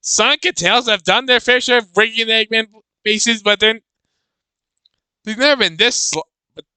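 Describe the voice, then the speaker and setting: Bright, high-voiced man reacting casually. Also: normal speed, clear, resonant tone, no echo. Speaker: reaction video host.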